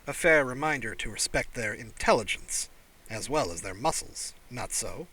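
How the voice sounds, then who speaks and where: Man voicing a shrewd, calm alien clan father. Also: mid-range voice, husky, poor audio. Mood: calm, pointed. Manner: calm, affable, measured.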